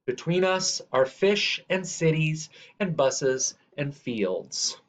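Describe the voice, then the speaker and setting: A man reading a children's picture book aloud in a measured, deliberate way. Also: on the high side, animated. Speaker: reader. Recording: dull, lo-fi sound.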